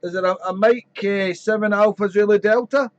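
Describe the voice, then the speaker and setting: A man chatting on amateur radio from his radio shack, rambling and friendly, his voice slightly croaky. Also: high-pitched, steady tone, normal speed, dull audio, background noise. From Wales. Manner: chatty, rambling, fills with "there". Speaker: ham radio operator. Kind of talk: casual radio chat.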